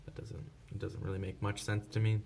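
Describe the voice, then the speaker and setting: A man's voice: fairly low pitch, little pitch movement, brisk, darkish tone. A man presenting a casual hands-on product tutorial, explaining informally step by step without a script. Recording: clear background noise.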